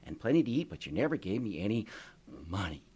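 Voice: low-pitched, very animated, fast, darkish tone, slightly rough, full character voice. A storyteller as naive, earnest young George. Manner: earnest, wide-eyed, plainspoken. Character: George, naive good-hearted young man.

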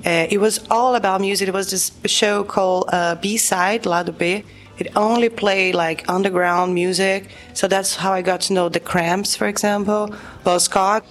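A woman's voice: medium pitch, fairly even intonation, moderate pace, faint music behind. Chatty Brazilian-born woman musician. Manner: chatty, full of fillers. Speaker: guest musician. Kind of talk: casual interview chat.

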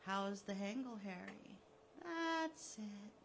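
A woman voicing a sadistic man who taunts his victim in a soft, mocking, drawn-out way. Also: medium pitch, lively intonation, a little hiss or hum. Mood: taunting. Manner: mocking, softly menacing, drawn out. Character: gloating sadistic male killer, taunting.

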